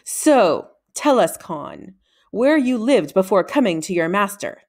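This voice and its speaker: A woman's voice: mid-range voice, very animated, measured pace, a little mellow. A haughty old wizard villain from a storybook, acted out by a woman. He puts his questions smugly, pointing each word.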